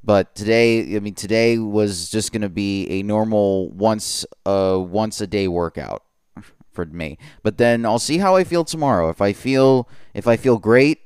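A man's voice: a little mellow, clear, resonant tone, no room sound, clean studio sound. A man hosting his own podcast, talking in a rambling, earnest way and correcting himself often. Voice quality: voice lower than usual today. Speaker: podcast host. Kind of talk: solo podcast monologue.

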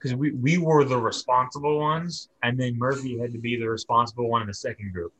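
A man's voice: medium pitch, steady tone, brisk, a bit bright, poor audio. A young adult man chiming in casually as a co-host during a friend's story. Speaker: podcast co-host.

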